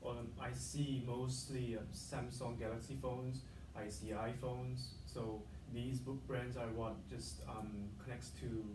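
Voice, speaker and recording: A man's voice: mid-range voice, flat delivery, unhurried, few pauses, distant mic, noisy background. Hesitant man in a roomy focus group. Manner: hesitant, many fillers and restarts. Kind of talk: casual discussion.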